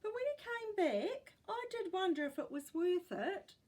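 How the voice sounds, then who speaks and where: Lady doing a proud old great gran from a storybook, really high voice, swoopy and nostalgic. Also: sing-song, a little slow, a little mellow, exaggerated voice. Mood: wistful, proud. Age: older. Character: elderly great-grandmother, proud, wistful, gently reminiscing. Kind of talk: storybook character voice.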